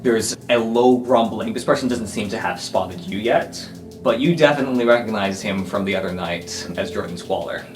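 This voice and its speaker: A man runs a tabletop game, describing scenes off the cuff in a halting way. His voice is fairly low, with clear guitar music behind it. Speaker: game master. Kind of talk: improvised tabletop game narration. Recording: instrumental music under the voice.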